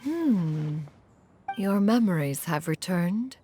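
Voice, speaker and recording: An actress voicing a lofty, aloof ancient crane spirit who speaks formally and calls herself "one". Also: female voice, on the low side, animated, takes it slowly, mellow, soft background music. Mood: calm. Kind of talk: video game voice acting.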